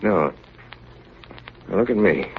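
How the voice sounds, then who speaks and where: An actor playing a steady, authoritative ship's officer in a 1930s radio drama, grave and firm with clipped phrasing. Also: male voice, low-pitched, slow pace, vocal fry, full character voice. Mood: calming, coaxing. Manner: grave, clipped log-style narration, firm in dialogue. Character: steady, authoritative ship's chief officer narrating polar ordeal. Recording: dull, lightly noisy 1930s radio recording.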